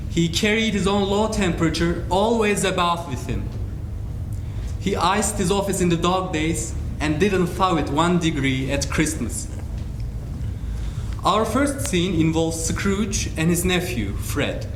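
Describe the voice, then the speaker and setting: A man narrating a dramatized literary reading in a theatrical, deliberate, declamatory way. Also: sits high. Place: hall, live stage reading. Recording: echoey hall with clear noise.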